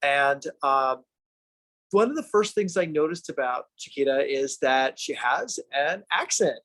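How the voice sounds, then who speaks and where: A man's voice: medium pitch, expressive, a little slow, fairly bright tone, low-quality recording. A man hosting a podcast interview, talking eagerly in a casual way with frequent stammering restarts. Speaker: podcast host.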